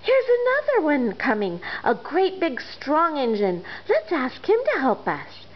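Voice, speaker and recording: An eager little toy clown calls out in a very high, bouncy voice, as a woman puts him on. The storybook voice leaps all over the place in a dull lo-fi recording. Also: a little slow, full character voice. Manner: excited, eager calling out.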